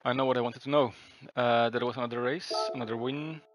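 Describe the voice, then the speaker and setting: A man's voice: mid-range voice, fairly even intonation, fast, no room sound, lo-fi sound. A man giving casual commentary while playing a racing game, reading the game's dialogue aloud and reacting as he drives. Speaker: gaming video host. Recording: noisy game audio underneath. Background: racing game engine sounds.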